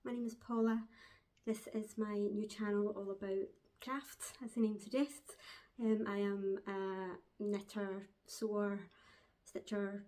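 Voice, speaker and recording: A woman's voice: mid-range voice, level tone, slow, dark tone. Chatty Glaswegian woman, lots of ums. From Glasgow, Scotland. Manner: chatty, hesitant with frequent ums, laughs often. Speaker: crafting podcast host. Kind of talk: casual chat to camera. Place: at home, seated with a dog on her lap.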